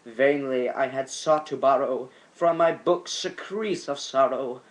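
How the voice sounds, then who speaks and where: A man giving an amateur dramatic poetry reading, theatrical and drawn out, moving between hushed and loud delivery. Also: medium pitch, unhurried, rough recording. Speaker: amateur reader of a poem.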